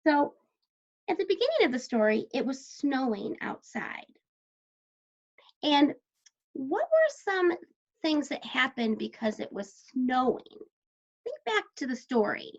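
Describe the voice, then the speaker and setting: A woman teaching young children about a story in a fairly high voice with noticeable creak. She speaks slowly, puts questions to her listeners and encourages their answers. The recording is somewhat dull and lo-fi. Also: wildly swooping pitch. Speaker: elementary school teacher. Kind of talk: read-aloud lesson talk to young children. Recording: dull lo-fi sound.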